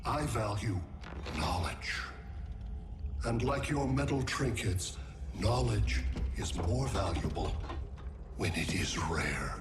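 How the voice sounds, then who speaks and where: An actor playing a calm, menacing alien male conqueror who collects worlds, speaking in a measured, clinical way in a video game cutscene. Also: fairly low pitch. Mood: cold. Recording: echoey game mix with loud music.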